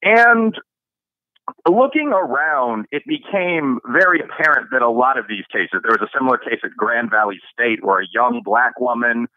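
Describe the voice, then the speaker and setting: A man's voice: mid-range voice, lively intonation, moderate pace, no echo, narrow, tinny audio. A man from the Chicago area, a professor and author, giving fluent interview answers and listing cases one after another. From Chicago area, USA.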